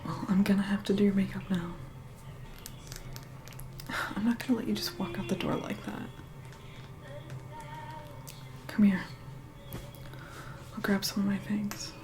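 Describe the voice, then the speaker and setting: Whispering, haughty vampire woman acted out, over loud music. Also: mid-range voice, level tone, moderate pace, pauses often, natural voice. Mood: annoyed, dismissive. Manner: curt, condescending, bossy. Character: haughty, impatient immortal female vampire, reluctantly helpful. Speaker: ASMR role-play creator. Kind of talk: ASMR role-play, mostly whispered and soft-spoken.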